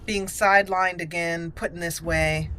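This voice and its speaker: Slow-paced, bright-voiced woman roleplaying a game. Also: lowish voice, steady tone, a little hiss or hum, poor audio. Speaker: gaming channel host. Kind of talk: roleplay let's play, in-character replies and commentary.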